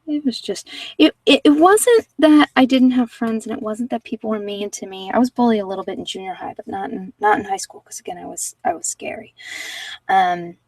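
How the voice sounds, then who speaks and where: A woman's voice: fairly high pitch, brisk, a little mellow, no echo, distant mic, low-quality recording. A woman giving casual live tarot readings to viewers, talking informally and thinking aloud as she goes. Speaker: tarot reader and channel host.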